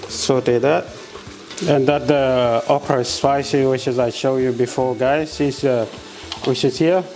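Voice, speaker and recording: A man from South Sudan with a South Sudanese accent, demonstrating a recipe in his kitchen and explaining each step in an unhurried way, with many hesitant pauses. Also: medium pitch, fairly even intonation, darkish tone, soft background music. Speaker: home cook. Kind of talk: cooking demonstration.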